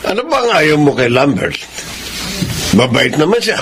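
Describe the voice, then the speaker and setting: A man's voice: a little high, big pitch swings, a little slow, fairly bright tone. An actor with a Filipino accent playing a stern, domineering middle-aged father in a radio drama. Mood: commanding. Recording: noisy radio drama recording.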